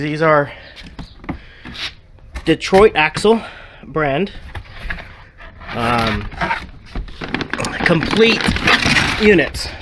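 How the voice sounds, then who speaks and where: A man getting over a cold, a little sniffly, explaining a car suspension job in a casual, halting way with many ums and restarts. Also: a little high, noisy background. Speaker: car repair vlogger.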